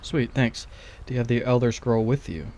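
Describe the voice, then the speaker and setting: A man's voice: mid-range voice, moderate pace, darkish tone, a little hiss or hum. A man doing casual let's play commentary, laid-back and slangy, thinking aloud as he goes. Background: faint game ambience.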